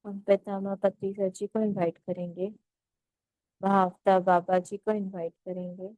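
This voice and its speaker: A woman leading a guided meditation as instructor, speaking in a measured, gently instructive way with pauses between phrases. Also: medium pitch, flat delivery, no room sound, poor audio.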